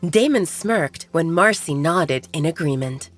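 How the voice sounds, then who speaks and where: A woman narrating scripted romance fiction in a polished, expressive storytelling manner. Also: low-pitched, unhurried. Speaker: audiobook narrator. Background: music bed.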